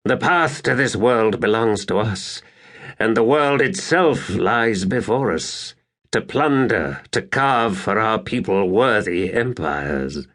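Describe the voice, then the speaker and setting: A narrator as a grand, slow-spoken warrior commander. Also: male voice, fairly low pitch, lively intonation, a bit bright. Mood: solemn, persuasive. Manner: grand, formal, declamatory. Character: victorious, silver-tongued tall grim male warrior commander. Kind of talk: dramatic audiobook character reading.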